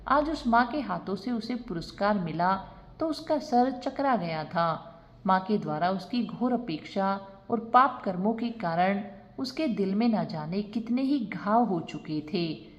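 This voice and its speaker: A woman's voice: medium pitch, lively intonation, a little slow. A woman narrating a scripted moral family story as a storyteller.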